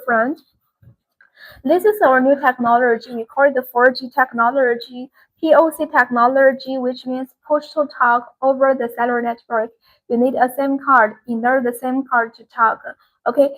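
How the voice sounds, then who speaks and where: A woman with a slightly high voice hosts an informal live product demonstration. She explains features step by step in halting, non-native English. She often circles back to repeat her key selling points. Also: a bit bright, low-quality recording. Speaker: live-stream sales host.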